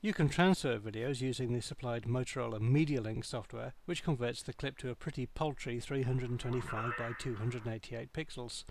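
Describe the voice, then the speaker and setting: A man reading a scripted product review as narration, in a measured, matter-of-fact way. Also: mid-range voice, slight background noise.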